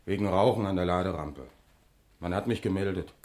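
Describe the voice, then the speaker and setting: Low-voiced contrite truck driver played by a man. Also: brisk, darkish tone, lightly acted. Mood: sheepish, subdued. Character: weary, contrite English tanker driver and husband. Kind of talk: 1960s German radio drama acting.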